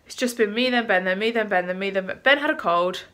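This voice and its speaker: A woman's voice: medium pitch, fast, sharp tone. A British woman in her twenties chatting casually to her phone camera, congested and a bit out of breath from a cold. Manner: chatty, lots of ums. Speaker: vlogger. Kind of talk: casual vlog chat. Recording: phone recording with light background noise.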